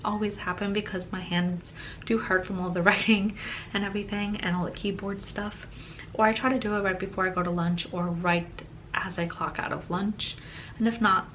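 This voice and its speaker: A woman's voice: medium pitch, flat delivery, normal speed, a bit bright, slight background noise. A woman in her thirties narrating a planner video in a chatty, rambling way, her voice a little off from a cold she is getting over. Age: 30s. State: slightly off voice from a cold. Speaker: planner vlogger.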